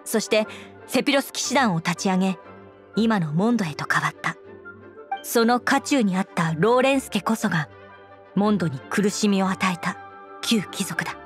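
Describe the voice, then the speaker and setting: An actress playing an earnest, composed young woman knight commander in an anime game, speaking in a formal, measured, dutiful way. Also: mid-range voice, animated, soft background music, natural voice. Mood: grave.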